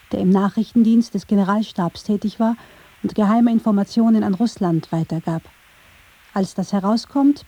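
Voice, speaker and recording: Woman narrating a radio feature, mellow voice. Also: medium pitch, slightly rough. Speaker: documentary narrator.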